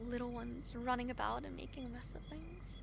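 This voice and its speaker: A woman playing a gentle, affectionate princess in a romantic fantasy audio drama, with formal, tender, courtly phrasing. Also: on the high side, fairly quick, creaky, noisy background. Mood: tender, wistful. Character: gentle, affectionate foreign princess, gracious and wistful.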